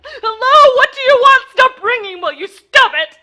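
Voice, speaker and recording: Panicky sickly wife in an old radio drama, super high sharp voice, bossy and sorry for herself. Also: female voice, lively intonation, normal speed, exaggerated voice. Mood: frantic, angry. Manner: melodramatic, imperious, stammering with panic. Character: frantic, demanding, self-pitying invalid wife, home alone. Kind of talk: 1940s radio play acting.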